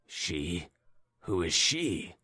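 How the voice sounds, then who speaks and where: A man voicing an agitated, impatient young man who speaks in a low, hissing whisper. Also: big pitch swings, a little slow, no echo.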